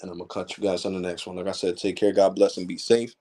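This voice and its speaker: A man's voice: low-pitched, flat delivery, quick pace, background noise. A man in his forties hosting a tech channel, lecturing viewers in a blunt, heated, profane way during a casual livestream rant. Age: 40s. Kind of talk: casual ranting livestream monologue.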